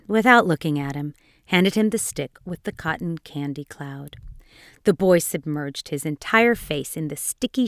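Female narrator for dark fairy tales: lowish pitch, dramatic scripted read, dry studio-like sound. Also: no room sound. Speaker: storyteller.

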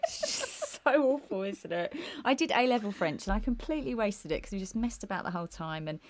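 A woman's voice: medium pitch, expressive, a little fast. A woman, co-hosting a music podcast in casual, laughing chat with frequent restarts. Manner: chatty, laughing, many restarts. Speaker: podcast co-host.

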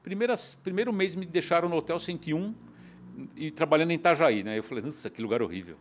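A middle-aged man from São Paulo, telling his story as a guest in a casual conversation, animated and often restarting. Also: a little high, fast. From São Paulo, Brazil. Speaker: guest entrepreneur.

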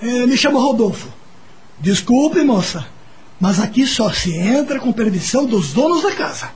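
A man playing a reserved, dutiful stable hand in a Brazilian radio drama, with a husky voice. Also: extremely high pitch, sing-song, moderate pace, a little mellow, full character voice. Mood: guarded.

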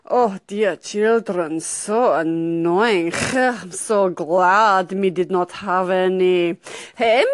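A woman's voice: a little high, no echo, studio quality. A woman playing a brash, blunt, self-loving Russian woman who scolds in broken English as host of a comic advice show. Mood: deadpan, bossy. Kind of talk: improvised comic monologue answering caller messages.

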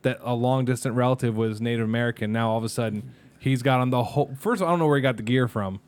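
A man in his thirties co-hosting a podcast, chatting casually, telling jokey stories and slipping into quick impressions. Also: mid-range voice, clean tone. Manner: jokey, animated storyteller who does quick impressions. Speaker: podcast co-host. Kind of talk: casual chat.

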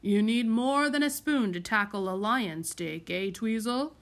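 A woman's voice: a little high, a little slow. A woman voicing a blustery colonel in a storybook, hearty and pleased with his own jokes. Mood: jocular. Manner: hearty, chortling. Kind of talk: acted storybook dialogue.

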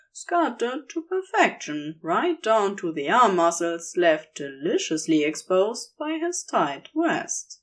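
Female narrator for romantic audio fiction, unhurried delivery with lively melodic swings. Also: mid-range voice. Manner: slow, measured, expressive reading. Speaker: audiobook-style podfic narrator. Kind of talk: scripted fiction narration, intimate storytelling.

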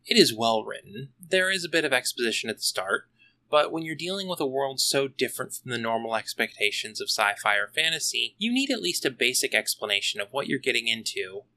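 A man's voice: medium pitch, sing-song, brisk, pushed and bright, low-quality recording. A man hosting a solo podcast, delivering a scripted review with wry, tongue-in-cheek asides. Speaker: podcast host reviewing comics.

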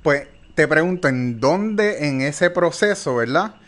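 A man hosting a live interview, asking measured questions and often checking in with the guest. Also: fairly high pitch, lively intonation. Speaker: interview host.

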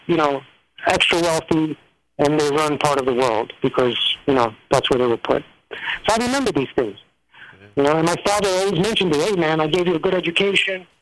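A man's voice: fairly high pitch, low-quality recording. A man, telling his life story as an interview guest, rambling with many fillers and restarts. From New York.

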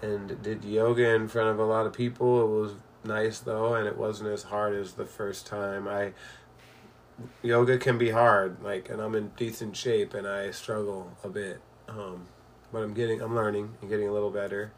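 A middle-aged man chatting casually in a bedroom, telling rambling stories in a relaxed way. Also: lowish voice, moderate pace, slight background noise. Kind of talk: casual chat.

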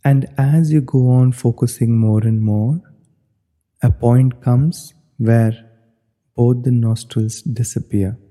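A man leading a guided meditation as an instructor, giving measured, step-by-step directions. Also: medium pitch, steady tone, warm tone. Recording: long hollow reverb.

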